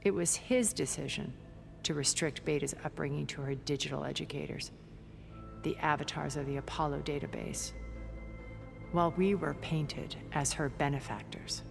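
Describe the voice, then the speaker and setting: Low-voiced, elegant immortal aristocrat woman. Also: vocal fry, faint music behind. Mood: cool disdain. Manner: measured, elegant, theatrical phrasing. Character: refined, wealthy immortal woman, eloquent and quietly scornful. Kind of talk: video game character dialogue.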